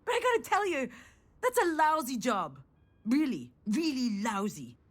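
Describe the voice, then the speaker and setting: A woman's voice: high-pitched, very animated, normal speed. An actress playing a flustered, sardonic woman in a video game, with a wry, self-deprecating and hesitant manner. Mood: flustered, defensive. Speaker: voice actor playing the lead character. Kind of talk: acted game dialogue with inner-monologue narration.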